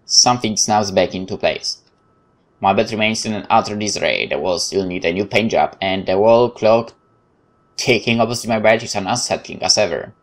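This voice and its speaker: Low-pitched Polish-accented man reading aloud. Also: normal speed. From Poland. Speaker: let's play host. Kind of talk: reading visual novel text aloud, with casual asides.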